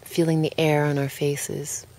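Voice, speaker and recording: A woman with a low-set voice narrates a guided relaxation. Her delivery is calm and gentle, moving without hurry and pausing between short phrases as in a scripted meditation. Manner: calm, unhurried, gently spoken with pauses.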